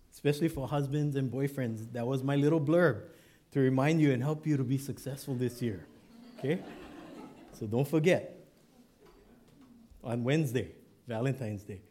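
A man's voice: a little high, a little mellow. A male pastor from Hawaii with a Hawaiian Pidgin accent, preaching in a joking, conversational way with tag questions. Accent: Hawaiian Pidgin English. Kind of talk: sermon.

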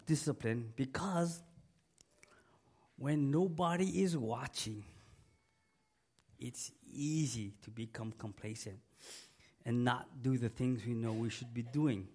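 A man's voice: mid-range voice, mellow. A middle-aged male pastor preaching a sermon in a church. He is losing his voice from a cold and talks emphatically, repeating key words and asking the congregation for an amen. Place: church sanctuary.

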